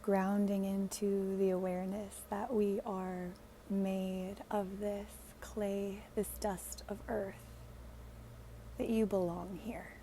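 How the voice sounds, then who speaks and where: A woman leading a guided meditation in a gentle, hushed, soothing way, leaving long pauses. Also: medium pitch, level tone, a little hiss or hum.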